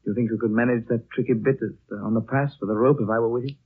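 Man playing a grave, dreamy gentleman, muffled radio. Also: medium pitch, steady tone, husky, lightly acted. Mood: resigned. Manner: slow-weighted, solemn, measured. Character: grave, dreamy, world-weary English gentleman. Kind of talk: 1930s radio acting. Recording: muffled, phone-like 1930s radio broadcast.

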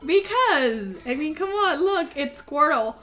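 Bright-voiced, giggly young woman. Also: sits high, animated, measured pace, soft background music. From Thailand. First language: Thai. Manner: giggly, chatty, many fillers. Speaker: podcast co-host. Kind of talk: casual chat.

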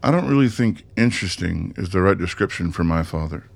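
A man's voice: low-pitched, lively intonation, brisk, vocal fry, heavily acted. A man playing a huge, awkward, brooding young man in a radio drama, speaking tersely and guardedly. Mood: worried, sober.

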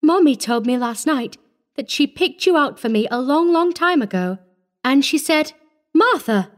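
A woman's voice: high voice, animated, measured pace, voice pushed up. A woman voicing a trusting, earnest little girl devoted to her mother in a storybook reading. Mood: happy, confiding.

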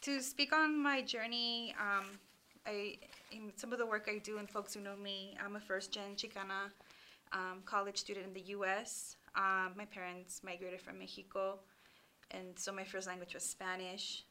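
A woman speaks as an interview guest. Her tone is bright and forceful, her pitch sits mid-range, and she talks candidly, often restarting her sentences. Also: flat delivery, moderate pace, slight background noise. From Los Angeles, California, USA. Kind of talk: casual interview storytelling.

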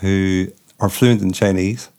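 A man's voice: low voice, fairly even intonation, unhurried, darkish tone. A middle-aged man hosting a casual interview, rambling and thinking aloud with many restarts. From not from the Republic of Ireland. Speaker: podcast host.

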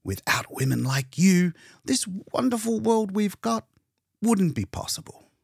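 A man's voice: sits high, sing-song, measured pace, creaky, darkish tone, slightly rough, dry recording, studio quality, voice pushed up, exaggerated voice. A man voicing a hearty, pompous, very tall doctor in a storybook reading, self-important and emphatic. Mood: hearty, gushing. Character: booming, jovial, self-important seven-foot male doctor.

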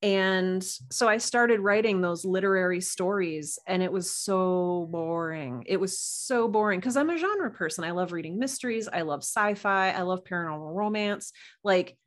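A woman guest on a casual interview, telling personal stories in a playful, animated way. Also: fairly low pitch, moderate pace, hardly any pauses. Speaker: guest author and podcast producer.

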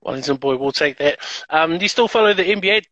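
A man hosting a casual podcast, relaxed and asking gentle questions. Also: on the high side, fast talker, dry recording. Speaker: podcast host. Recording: dry, dull lo-fi.

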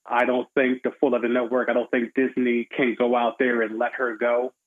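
Phone-line male sports pundit, mid-pitched. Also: level tone, moderate pace. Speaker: guest sports commentator. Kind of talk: conversational sports commentary. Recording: tinny narrow phone-like line.

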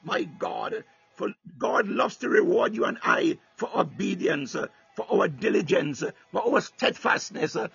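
A man's voice: high-pitched, vocal fry, fairly bright tone, husky, no echo. A man preaching a fervent sermon with prayer, repeating "my God" between phrases and breaking into tongues. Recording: dull lo-fi recording.

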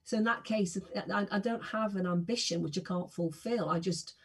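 Sixties woman, husky voice, warmly enthusiastic guest who often restarts her sentences. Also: medium pitch, moderate pace. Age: 60s. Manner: enthusiastic, self-deprecating, frequent restarts. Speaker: podcast guest, singer. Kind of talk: casual interview chat.

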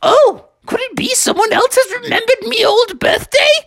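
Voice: very high-pitched, moderate pace, gravelly, fairly bright tone, pitched above the performer's own voice, heavily acted. A storyteller voicing an exuberant elderly cartoon frog, childlike and full of slangy exclamations. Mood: excited. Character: excitable elderly male frog having his hundredth birthday.

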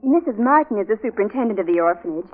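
An actress playing an eager, starstruck receptionist in a 1940s radio drama, on a tinny recording. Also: female voice, medium pitch, animated, rapid-fire, over music, natural voice.

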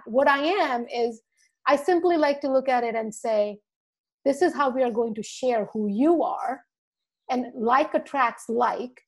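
A woman's voice: a little high, vocal fry. A middle-aged woman from India raised in the Virgin Islands, a dental marketing coach speaking earnestly on a podcast. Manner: earnest, speaks from the heart, acts out quoted dialogue. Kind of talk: casual podcast conversation.

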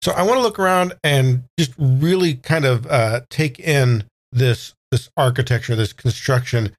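A man playing a tabletop game, casually describing his character's actions in a deliberate, wordy, hesitant way. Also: mid-range voice, no room sound, studio quality.

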